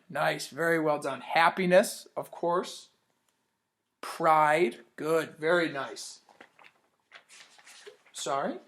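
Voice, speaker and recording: A man's voice: a little high, a bit bright. A man teaching as he reads a picture book aloud to children, stopping to ask questions and praise their answers. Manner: stops to quiz listeners and praise their answers. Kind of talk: read-aloud storytime for children.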